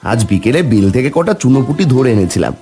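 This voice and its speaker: A man's voice: medium pitch, very animated, fast, mellow, faint music behind. A man voicing a worried, caring village husband in a cartoon, with a Bengali accent and colloquial, exclaiming speech. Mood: resolute. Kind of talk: cartoon dialogue acting.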